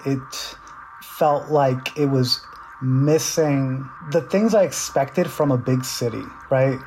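A middle-aged man from the Dominican Republic, speaking with a New York accent in an interview. His pitch sits mid-range, and he recalls his past in a halting way, with many restarts as he searches for words. Soft music runs faintly behind him. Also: low-quality recording. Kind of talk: reflective personal storytelling.

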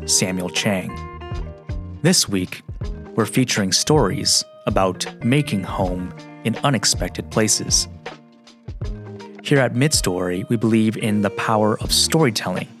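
A man hosting a radio show, narrating in a slow, measured, reflective way; his first language is Mandarin. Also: fairly low pitch.

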